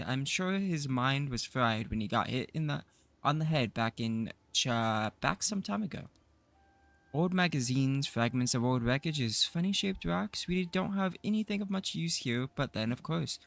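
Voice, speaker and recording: A man reading out a raspy, sing-song junk trader. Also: mid-range voice, animated, measured pace, fairly bright tone. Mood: welcoming. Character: eccentric junk-shop trader greeting a customer.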